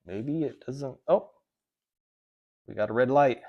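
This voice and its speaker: A man's voice: medium pitch, measured pace, highs cut off. A man with a Southern US accent hosting a casual tech livestream, rambling, correcting himself and reading viewer chat aloud. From American South. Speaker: livestream host. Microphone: desk microphone.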